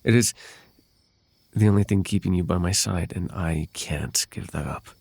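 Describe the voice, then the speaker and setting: A man playing a possessive, lovelorn fae royal heir in an intimate ASMR roleplay, with halting, tender delivery and formal, old-fashioned wording. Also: deep voice, creaky, darkish tone, no echo. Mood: distressed, pleading.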